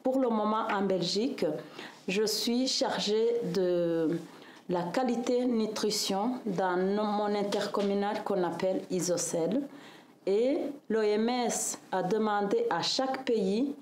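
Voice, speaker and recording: Slow-speaking woman from Rwanda, echoey room. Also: medium pitch, darkish tone, a little hiss or hum. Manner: slow, measured, with pauses and hesitations. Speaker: nutritionist interviewee. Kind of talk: interview testimony.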